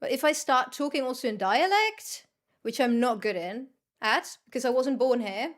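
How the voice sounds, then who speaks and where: A woman's voice: sits high, lively intonation, normal speed. A woman with a Dutch accent chatting casually as she livestreams a game, with a blunt, sweary, teasing manner. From Netherlands. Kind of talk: casual chat while gaming.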